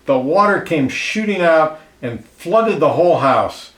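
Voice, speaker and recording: A man reading a children's picture book aloud expressively, pausing to comment and ask listeners questions, in an echoey room. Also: on the high side, expressive, a bit bright. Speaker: storybook reader. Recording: roomy, echoey indoor sound.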